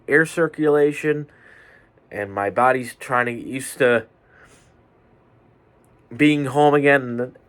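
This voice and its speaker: Slow-talking stuffy-nosed man. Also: mid-range voice, fairly bright tone. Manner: measured, deliberate delivery. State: stuffy nose. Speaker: podcast host. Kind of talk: solo sports podcast preview. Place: home bedroom with fans running.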